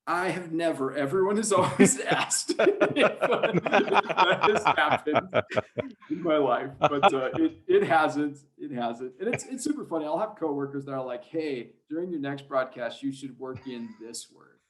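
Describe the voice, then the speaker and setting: A man's voice: a little high, a little slow, fairly bright tone. A man speaking as a guest sports announcer in a casual podcast interview, rambling and hesitant as he thinks aloud.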